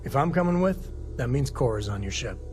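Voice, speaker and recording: A man's voice: medium pitch, animated, brisk, a little mellow, soft background music, lightly acted. A man playing an easygoing, folksy space explorer and single father in a video game. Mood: relaxed. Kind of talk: video game character dialogue.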